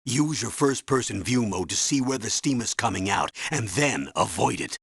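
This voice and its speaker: An actor playing a stern older colonel in a video game, briefing and giving orders with a husky, commanding voice. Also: male voice, on the low side, lively intonation, moderate pace, fairly bright tone, dry recording, clean studio sound, exaggerated voice. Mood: instructive. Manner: commanding, urgent, instructive.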